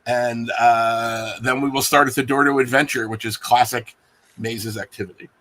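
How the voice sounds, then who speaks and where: A man acting as game master, improvising narration for a tabletop game in a halting way with many pauses. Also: medium pitch, steady tone, bright, forceful tone.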